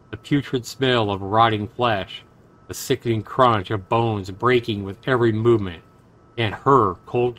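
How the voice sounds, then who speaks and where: A man's voice: medium pitch, fairly bright tone. A man livestreaming a game, mixing commentary with dramatic reading aloud as host. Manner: profane, exclamatory reactions.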